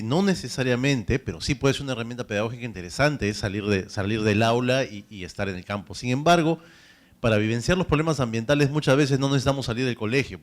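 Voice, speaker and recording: A man from Peru with a Peruvian accent answering on a panel, brisk, with restarts and examples. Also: mid-range voice. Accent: Peruvian Spanish.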